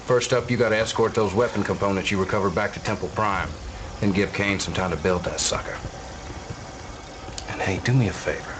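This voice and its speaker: A man's voice: lowish voice, a little fast, background noise, natural voice. An actor playing a cocky brash male soldier who talks in a casual, boastful way.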